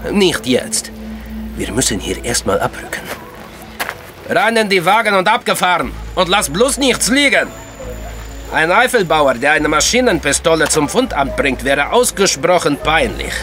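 Brusque agent in command, lively delivery. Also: male voice, on the high side, normal speed, soft background music. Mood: brisk, dry. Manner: brusque, dry, scolding. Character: gruff, commanding male agent, sardonic and exasperated. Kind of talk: crime audio drama acting.

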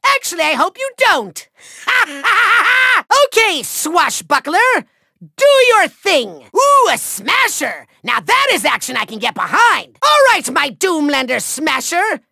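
A man's voice: wildly swooping pitch, a little slow, bright, forceful tone, dry recording, exaggerated voice. Bombastic little game villain, male: piercing, gritty, taunting and petulant, recorded very dry. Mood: gleeful commanding. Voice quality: shrill, gravelly. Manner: bombastic, taunting, whiny, theatrical. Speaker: voice actor in a video game. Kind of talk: theatrical cartoon villain voice lines.

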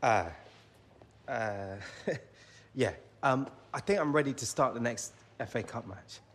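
A man's voice: medium pitch, a little slow, lightly acted. A young man with an English accent playing a modest, earnest footballer in a game cutscene. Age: young adult. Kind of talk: video game cutscene acting.

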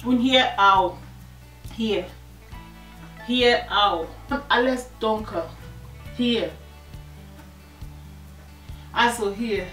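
A woman in her forties reviewing a skin serum from her sofa, in simple, halting German full of fillers. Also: a little high, fairly bright tone. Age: 40s. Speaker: beauty vlogger. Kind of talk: casual product review. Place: living room, seated on a sofa. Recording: echoey room, distant mic, faint music.

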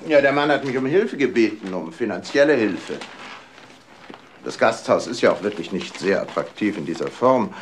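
An actor playing a courteous but easily indignant doctor, formal and assured, in a German crime radio play. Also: male voice, lowish voice, lively intonation, normal speed, a little hiss or hum, lightly acted. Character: courteous, indignant, well-spoken male doctor.

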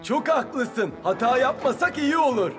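An eager little cartoon construction vehicle. The voice is extremely high and earnest, helpful in manner, with light music underneath. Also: female voice, lively intonation, unhurried. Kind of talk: dubbed children's cartoon acting.

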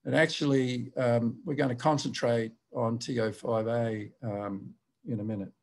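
An older man with a gravelly, slightly husky voice giving an academic lecture over slides, explaining in a measured, halting way. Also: medium pitch, steady tone, a little mellow. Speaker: university professor giving a lecture. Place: indoors, on a video call.

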